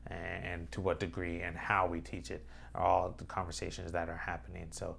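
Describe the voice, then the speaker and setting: A young man giving a hesitant voice-over introduction to an interview with his father, filling pauses with many "uh"s. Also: deep voice, monotone, creaky, fairly bright tone, a little hiss or hum. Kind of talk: recorded voice-over explaining context.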